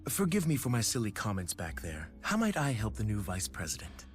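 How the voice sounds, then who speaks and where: An actor playing a smug, scheming corporate director in a video game, polite yet condescending and insinuating. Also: male voice, lowish voice, wildly swooping pitch, fairly quick. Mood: smug, explanatory. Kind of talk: video game cutscene acting. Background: background music.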